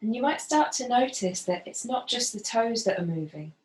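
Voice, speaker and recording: A woman gently guiding a body scan as a yoga facilitator, unhurried and leaving pauses. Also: a little high, expressive. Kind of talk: guided body scan meditation instruction. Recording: roomy, echoing voice.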